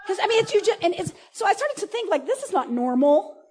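Animated high-voiced woman preaching. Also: quick pace, a little hiss or hum. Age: 40s. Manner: animated, laughing, many fillers and restarts. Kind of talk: casual conversational sermon. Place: church service.

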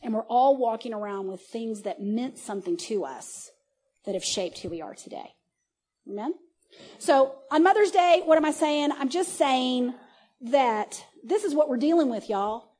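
A woman in her forties giving a casual, conversational sermon at a church service, animated, often laughing and restarting her sentences. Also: on the high side, moderate pace. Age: 40s. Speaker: preacher.

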